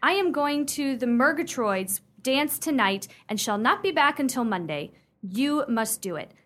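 A woman's voice: sits high, lively intonation, measured pace, a bit bright. A woman playing a prim, imperious young upper-class fiancée in a radio play, speaking sternly with precise, formal diction. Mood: commanding.

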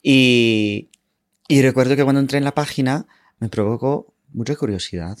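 A man's voice: mid-range voice, moderate pace, no echo, clean studio sound. A man in his twenties from Ohio, a native English speaker, casually telling his personal story as an interview guest. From Ohio, United States. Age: 20s.

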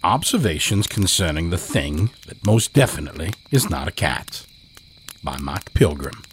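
A man narrating scripted horror fiction in a measured, deliberate way. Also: low voice, sing-song, slight background noise. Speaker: storyteller, narrator.

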